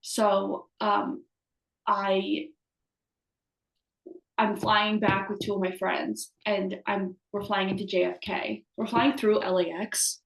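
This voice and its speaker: An American woman in her early twenties co-hosts a casual podcast over a remote call. Her voice sits at an average pitch, and the room around her rings with noticeable echo. She talks informally, as friends do. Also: level tone, slow pace, vocal fry. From United States. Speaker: podcast co-host. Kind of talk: casual chat between friends. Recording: roomy, echoey video call audio.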